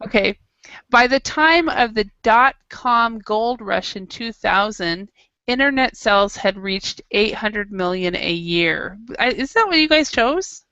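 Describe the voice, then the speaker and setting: A woman teacher runs a quiz with her class and reads an article aloud, chatty and encouraging. Her tone is bright with a gravelly fry. The recording is dry and a little muffled. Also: medium pitch, animated, unhurried, dry recording. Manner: chatty, encouraging, laughs easily. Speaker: English teacher.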